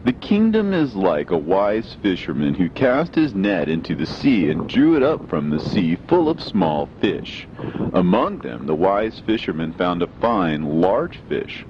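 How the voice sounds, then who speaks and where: A man's voice: deep voice, dull audio, slight background noise. A man reading scripture as scripted audiobook narration, in a solemn, declamatory manner. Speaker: reader of religious scripture.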